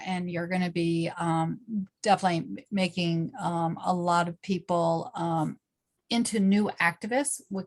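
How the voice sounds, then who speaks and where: Upbeat woman hosting a talk show. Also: on the low side. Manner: earnest, upbeat, frequent ums and restarts. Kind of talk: interview host.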